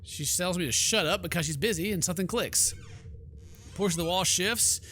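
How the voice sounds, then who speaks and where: A man's voice: high-pitched, expressive, normal speed, bright, forceful tone, slight background noise. A man doing let's play narration of a horror visual novel, with playful slang and joking asides.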